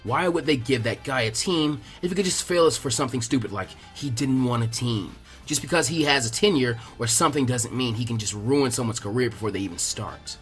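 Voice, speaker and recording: A laid-back, clever teenage ninja boy from an anime fan story, voiced by a male reader. He sounds weary and calm, measured and matter-of-fact, with music underneath. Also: medium pitch, voice pushed down, natural voice. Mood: calm, firm. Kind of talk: fan fiction character reading. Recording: music behind the voice.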